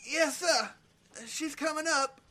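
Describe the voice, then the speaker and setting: Man playing a bright-voiced, stammering, folksy survivor. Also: very high-pitched, slow, exaggerated voice. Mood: urgent. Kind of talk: audio drama acting.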